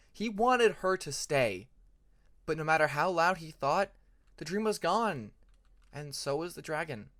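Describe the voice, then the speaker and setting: A man narrates a story from a script with earnest drama. His voice is a little higher than average for a man. It is recorded close and very dry, with no room sound. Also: a bit bright, no room sound. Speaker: audiobook narrator.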